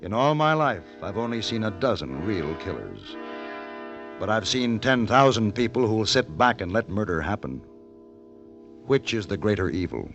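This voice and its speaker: Smooth wry gentleman gunslinger, low voice that swoops around, dull old radio sound. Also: big pitch swings, moderate pace, music in the background. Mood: grave. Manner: smooth, courtly, theatrical. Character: suave, worldly gunfighter gentleman, courtly and wry. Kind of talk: 1950s radio acting and narration. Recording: dull vintage radio recording.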